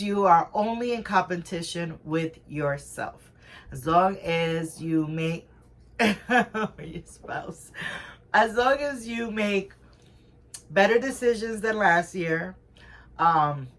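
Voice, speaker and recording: Chatty woman reselling co-host. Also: medium pitch. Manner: chatty, rambling with fillers and restarts. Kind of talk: casual chat.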